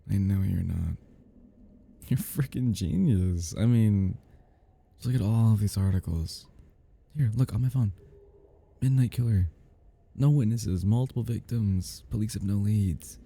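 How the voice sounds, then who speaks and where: A man playing an obsessed, giddy and menacing crime scene cleaner in an intimate audio roleplay, rambling in a husky voice that drops into whispers. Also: fairly low pitch, a little slow, long pauses, dark tone, heavily acted. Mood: obsessed, admiring. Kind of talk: intimate ASMR-style dramatic roleplay.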